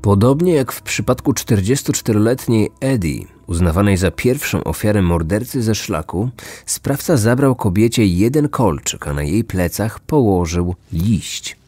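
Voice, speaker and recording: A man's voice: on the low side, lively intonation, a little slow, mellow, no echo. A man hosting a true-crime podcast, reading a scripted narration. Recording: music bed behind the voice.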